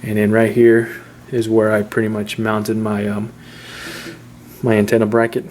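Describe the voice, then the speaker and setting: A man giving a casual, unscripted how-to in an informal, halting, repetitive way, like a hobbyist vlogger. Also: lowish voice, monotone, darkish tone, a little hiss or hum. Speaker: vlogger showing a DIY car install. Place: in and around a Jeep.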